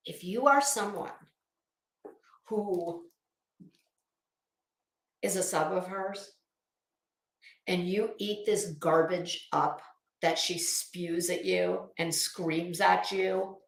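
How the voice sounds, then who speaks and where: A woman's voice: fairly low pitch, roomy sound. A woman delivering an opinionated online commentary rant, blunt, scolding, emphatic and sweary. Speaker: online commentary video host.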